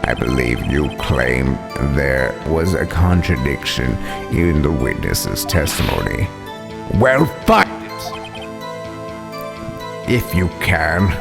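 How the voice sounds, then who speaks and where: Man voicing a slow, menacing, gravelly prosecutor. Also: extremely low pitch, very animated, over music, voice pushed down, full character voice. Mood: cold, disdainful. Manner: slow, disdainful, commanding. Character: stern, menacing, haughty male prosecutor. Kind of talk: dramatic video game voicing.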